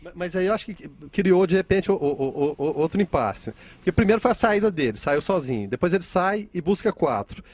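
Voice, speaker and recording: Man, Brazilian accent, slightly high voice, interview host, conversational, halting repetitions. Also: animated, a little mellow, no echo. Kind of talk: conversational interview, addressing viewers.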